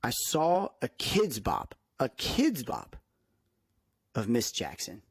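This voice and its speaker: A man co-hosting a casual music podcast, telling stories emphatically with halting restarts, on a close microphone. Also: medium pitch, huge pitch swings, husky, no room sound. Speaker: podcast co-host.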